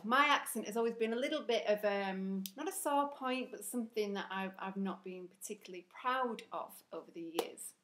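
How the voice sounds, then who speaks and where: A woman with a broad Northern English accent talks straight to the camera in a casual vlog. Her delivery is earnest and chatty, full of ums and little restarts, with a voice of ordinary middle pitch. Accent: broad Northern English, Rochdale and South Yorkshire mix. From Northern England. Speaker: vlogger.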